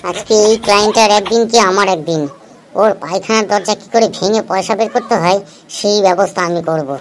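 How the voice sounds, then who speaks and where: A woman's voice: mid-range voice, brisk, noisy background, close to an everyday voice. A woman voicing an exasperated, threatening male loan collector who scolds and complains in a comedy cartoon.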